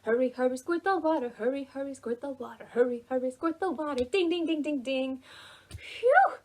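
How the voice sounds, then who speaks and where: A woman hosting preschool storytime as a children's librarian, reading aloud and leading action rhymes in an animated, singsong, exaggerated way for small children. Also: high-pitched, normal speed.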